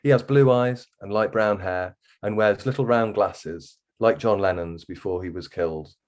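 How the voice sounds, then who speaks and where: A man reading a first-person story aloud as a guest author, in an expressive literary narration style. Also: on the low side, moderate pace.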